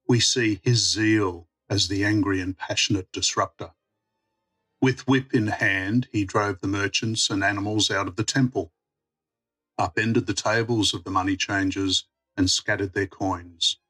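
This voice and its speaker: A man's voice: on the low side, a bit bright, slightly rough, rough recording. A man reading a prepared religious reflection on scripture. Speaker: church mission worker giving a reflection.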